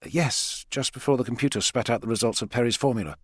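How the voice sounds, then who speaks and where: A man voicing a bold, outspoken woman with a brisk, challenging manner in an audiobook. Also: mid-range voice, breathy edge, no echo, natural voice. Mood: matter-of-fact.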